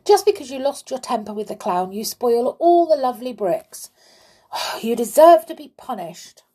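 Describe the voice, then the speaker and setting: A woman's voice: a little high, big pitch swings, normal speed, warm tone, breathy edge, voice pushed up. A woman voicing a disapproving male toy in a children's story, scolding in a reproachful, emphatic way.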